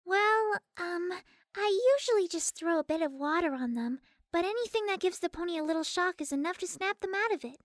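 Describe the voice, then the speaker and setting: A woman plays a gentle, shy young cartoon pegasus mare. The voice is very high and halting, softly earnest, and recorded dry and close. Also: expressive, dry recording. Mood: hesitant, earnest.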